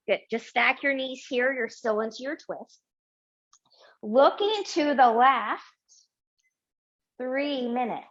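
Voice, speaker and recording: A middle-aged woman leading a yin yoga class, giving slow, gentle cues and affirmations while lying on a mat in a small home studio. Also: sits high, highs cut off. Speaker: yoga teacher. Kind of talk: guided yoga class instruction.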